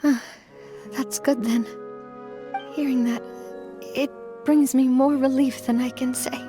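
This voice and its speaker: An actress playing a kind, resolute young woman who leads an organization, earnest and measured, in a dramatic video game. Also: high-pitched, fairly even intonation, music in the background. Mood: vulnerable, tired.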